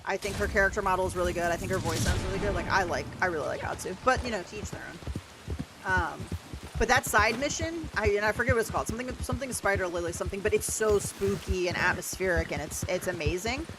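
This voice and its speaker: A young adult woman with a deeper voice, livestreaming a game and chatting casually with lots of "like" and "um". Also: fast, background noise. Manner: rambling, chatty, many "like" and "um" fillers. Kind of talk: casual chat while gaming.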